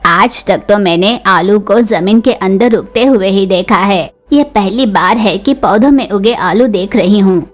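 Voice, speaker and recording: A woman's voice: medium pitch, a little slow, few pauses, voice pushed down, close to an everyday voice. A woman voicing an accommodating, curious female crow shopkeeper with a puzzled, questioning manner in a cartoon story.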